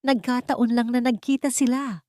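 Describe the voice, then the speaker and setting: A woman's voice: a little high, fairly even intonation, moderate pace, mellow, no echo, clean studio sound, pitched above the performer's own voice, natural voice. A woman voicing a protective mother in a story, firm and indignant, trembling with anger. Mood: defensive anger. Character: frightened, fiercely protective poor mother, angry and defiant.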